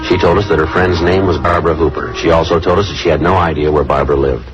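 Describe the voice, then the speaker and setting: An extremely low male voice on a dull vintage radio recording, a police detective. He is terse and deadpan, rattling through his lines in clipped, quick crime-drama style. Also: over music. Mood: matter-of-fact. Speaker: actor narrating and playing the lead.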